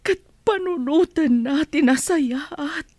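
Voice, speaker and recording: A woman playing an anguished high-voiced Ilocano mother. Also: a little mellow, dry recording. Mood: pleading, grieving. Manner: tearful, drawn-out pleading. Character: anguished, pleading mother of a wayward grown son. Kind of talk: Ilocano radio drama acting. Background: faint background music.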